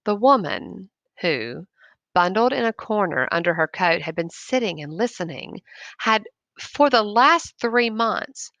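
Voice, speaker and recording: A woman's voice: a little high, bright, forceful tone, no echo. A woman reading a short story aloud expressively and commenting on it like a teacher.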